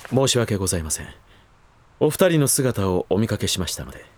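Courteous butler, firm, coolly protective, lowish pitch, lively intonation, formal speech, voice drama. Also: male voice, fairly quick, a little hiss or hum. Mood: polite, pointed. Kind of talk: Japanese voice drama acting.

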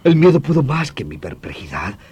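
An actor playing an anguished doctor confessing a killing, halting and theatrical, swinging from murmurs to outcries in old radio horror style. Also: male voice, mid-range voice, sing-song, a little mellow, husky, dull audio, exaggerated voice. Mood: horrified grief. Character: anguished doctor, brother who confesses killing his vampire wife. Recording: noisy old radio drama recording.

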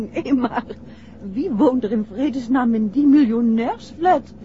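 Spirited detective's wife, noisy vintage radio. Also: female voice, on the high side, slow, mellow. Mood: playful, curious. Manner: curious, spirited. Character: detective's sharp, inquisitive wife, playful and sure of intuition. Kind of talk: 1960s radio drama acting. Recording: dull, noisy 1960s radio recording.

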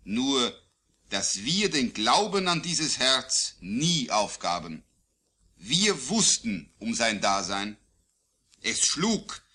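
A man reciting his own poetry solemnly, in a declamatory, deliberate way with phrases falling at the line endings. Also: fairly high pitch, sharp tone. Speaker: poet. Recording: digitized vintage vinyl record.

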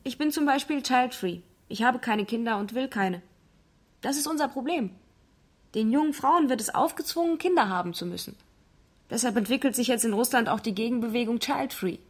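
A woman's voice: fairly high pitch, expressive, a little fast. A woman reading a translated interview matter-of-factly as a radio documentary voice-over.

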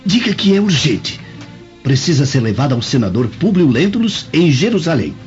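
Imperious, animated Roman nobleman. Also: fairly high pitch, normal speed. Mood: brisk, commanding. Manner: commanding, curt. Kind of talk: radio drama acting. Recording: dull lo-fi radio drama, music behind.

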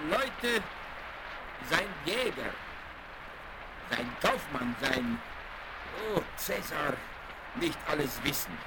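An actor playing an excitable, devoted servant who exclaims in broken pidgin, in a children's western radio play. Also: male voice, on the high side, very slow, pauses often, full character voice. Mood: awed, deferential. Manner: exclaiming, speaks broken pidgin of his master's language. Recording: noisy vinyl rip of radio play.

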